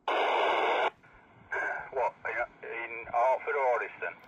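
A man's voice: medium pitch, slow pace, fairly bright tone. A man with an English accent chatting casually over a crackly two-way radio. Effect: two-way radio speaker. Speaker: CB radio operator. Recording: crackly, very noisy CB radio reception.

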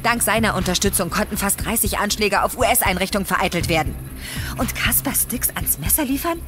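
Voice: medium pitch, expressive, fairly quick, music in the background, natural voice. An actor playing a pragmatic, cynical intelligence superior in a radio drama, speaking matter-of-factly. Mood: cool, cynical. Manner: matter-of-fact, explaining.

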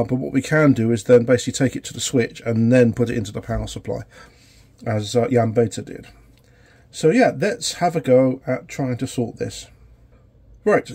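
A man in his forties with a cold and a sore throat, hosting a casual workshop tutorial in a small electronics workshop, explaining in a halting way with many fillers. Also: medium pitch, warm tone. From United Kingdom. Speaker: electronics repair channel host.

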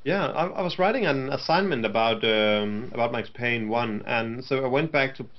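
A young man co-hosts a casual chat about games, hesitating often and filling the gaps with ums and uhs. His voice sits at a middling pitch. The sound is dull and lo-fi, like headset audio on a webcam call. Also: moderate pace. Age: young adult. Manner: hesitant, many fillers. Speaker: co-host. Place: home room. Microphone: headset mic.

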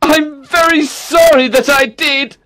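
A panicked father pleading for his daughter in a high, strained voice, as a storyteller puts him on. The voice rises well above the reader's own and comes across slow and dry. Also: fairly even intonation, pushed and bright, no echo, heavily acted. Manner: desperate, pleading.

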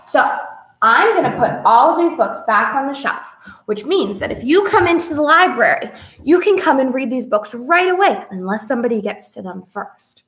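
High-voiced young woman, expressive story-time host. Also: moderate pace, poor audio. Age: young adult. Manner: expressive, sing-song, child-directed. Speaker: children's librarian hosting a read-aloud. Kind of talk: children's story-time presentation. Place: small indoor room with whiteboard.

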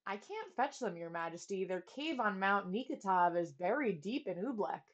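A woman's voice: medium pitch, lively intonation, moderate pace, lo-fi sound, close to an everyday voice. A woman voicing an earnest, bold storybook boy who speaks plainly and urgently. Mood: helpless. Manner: urgent, plain-spoken, scolding. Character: earnest, bold boy, outspoken to his king.

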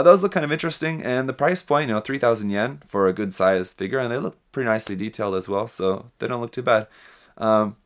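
A young adult man with a low-pitched voice presents a hobby news rundown. He talks casually and adds his own commentary as he goes, speaking into a close desk microphone in a small room. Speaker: host of a hobby news show. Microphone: desk microphone with pop filter, close.

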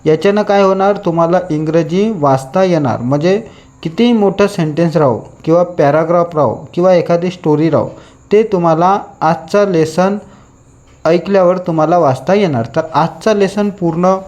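A man with a Marathi Indian accent teaching a lesson, deliberately reading out practice sentences for learners to repeat. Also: high voice, a little mellow, muffled recording. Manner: deliberate, repetitive, instructing listeners to repeat after him.

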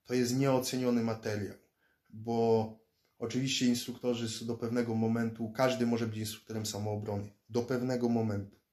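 A man's voice: medium pitch, flat delivery, moderate pace, darkish tone. A man in his thirties from Poland, talking casually to a close-up phone camera from a small room indoors, rambling and often repeating words as he restarts. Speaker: fighter and self-defense instructor vlogger. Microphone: phone or laptop camera close up.

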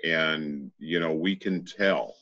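Middle-aged male guest, very low pitch, halting casual delivery, slightly dull video-call sound. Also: pushed and bright. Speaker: interview guest, energy healer. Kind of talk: casual conversation. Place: small room at home. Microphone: video call, shared device at arm's length.

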